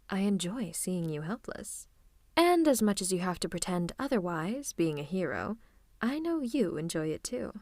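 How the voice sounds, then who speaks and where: A woman's voice: fairly low pitch, darkish tone, no echo. Teasing supervillain woman acting, close dry sound. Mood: sultry, teasing. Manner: affectionate, teasing, theatrically menacing. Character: playful, teasing female supervillain fond of her hero. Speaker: voice actor. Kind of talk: ASMR roleplay acting, one-sided conversation.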